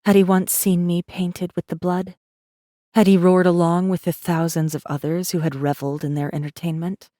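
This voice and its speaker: A guarded rebel messenger, voiced by a woman, tells her story in a deep, dark female voice. The audiobook delivery is tense, measured and dramatic, with a very dry, close sound. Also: little pitch movement, no room sound, natural voice. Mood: haunted. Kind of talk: dramatic first-person audiobook narration.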